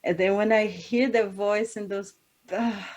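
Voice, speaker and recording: A woman from Brazil speaks, at a middle pitch. She reflects aloud in an interview, trailing off and restarting, often breaking into small laughs. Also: steady tone, measured pace, darkish tone, a little hiss or hum. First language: Portuguese. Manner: thoughtful, searching for words with frequent restarts and laughs. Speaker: singer. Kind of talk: interview conversation.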